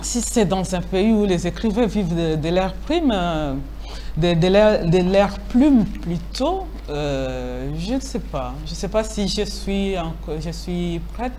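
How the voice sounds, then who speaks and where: A woman's voice: lowish voice, lively intonation, unhurried, mellow, slight background noise. A woman in her forties, a writer answering interview questions in a TV studio, talking hesitantly with many fillers and restarts and laughing easily. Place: TV studio set.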